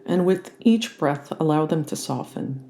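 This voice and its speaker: A meditation guide leading a guided relaxation in a gentle, soothing and unhurried manner. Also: medium pitch, lively intonation. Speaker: yoga nidra meditation guide.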